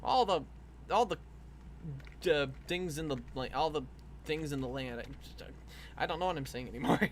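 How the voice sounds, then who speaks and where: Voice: medium pitch, animated, moderate pace, slight background noise. A livestream host chatting casually while animating, rambling and laughing as they talk, with frequent swearing.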